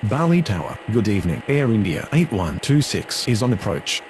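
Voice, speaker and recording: A man's voice: fairly low pitch, sing-song, normal speed, a little hiss or hum. A man making procedural aviation radio calls in set phraseology. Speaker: pilot and air traffic controller radio voice.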